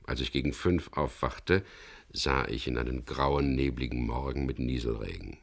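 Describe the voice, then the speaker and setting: An actor playing a gruff, wary skipper who tells his story tersely in a radio crime drama. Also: very deep voice, normal speed, heavily acted. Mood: calm, matter-of-fact. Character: wary, gruff boat skipper, man, narrating his adventure.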